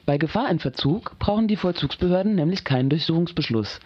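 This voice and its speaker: A man performs a scripted explainer, measured and matter-of-fact. The voice sits a little high and is recorded very dry, slightly lo-fi. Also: animated, slightly rough, no echo. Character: matter-of-fact male explainer of legal rules.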